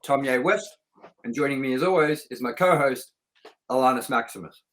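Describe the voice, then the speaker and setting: A middle-aged man with a medium-pitched voice talks as the host of a film review show. He is chatty and informal, wandering through his thoughts and anecdotes without a script. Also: normal speed, a bit bright, low-quality recording. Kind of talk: casual unscripted review chat.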